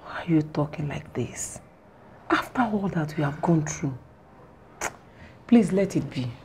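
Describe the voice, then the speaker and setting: A scheming mother in a screen drama. Her voice is lowish and mellow, swinging widely in pitch as she defends herself. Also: lively intonation, a little slow, a little hiss or hum. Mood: anxious. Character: defiant scheming mother of a grown daughter.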